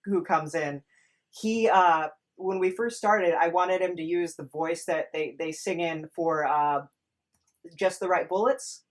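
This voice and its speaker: A woman with a slightly low voice hosts a podcast interview. She talks in a casual, conversational way. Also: a little slow.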